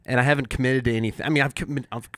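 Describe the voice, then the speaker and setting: A man's voice: medium pitch, fairly even intonation, a bit bright, no room sound. A man co-hosting a podcast in casual chat, often repeating his words as he gets a sentence going. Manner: halting, repeats words as he starts. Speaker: podcast co-host.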